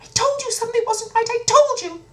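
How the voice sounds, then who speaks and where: A woman's voice: brisk, roomy sound. A woman voicing a young princess, a petulant and protesting daughter in a children's fairy tale. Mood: reproachful. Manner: petulant, high-pitched protesting.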